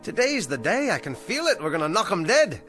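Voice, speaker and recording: A man's voice: high-pitched, big pitch swings, fairly quick, a bit bright, soft background music. An actor playing a down-on-his-luck male ventriloquist who talks in an eager, fretful, anxiously upbeat way. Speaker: struggling ventriloquist. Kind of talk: 1960s television drama acting.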